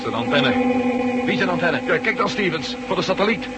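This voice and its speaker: An actor playing a tense astronaut who urges the others on in a radio drama. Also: male voice, sits high, expressive, fairly quick. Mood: urgent. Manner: urgent, pressing. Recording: noisy old radio play recording.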